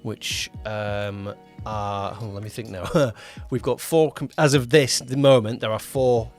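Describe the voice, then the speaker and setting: A man's voice: fairly low pitch, steady tone, unhurried, darkish tone, faint music behind. A man co-hosting a casual behind-the-scenes podcast as a producer, rambling and often rewording himself mid-sentence. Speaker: podcast co-host, audio drama producer-director.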